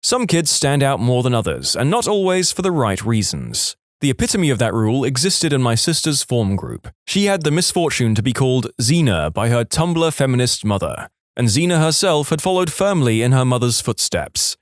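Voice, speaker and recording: A man's voice: medium pitch, sing-song, normal speed, hardly any pauses, dry recording, studio quality. A man reading scripted online stories as a narrator, acting out the quoted speech.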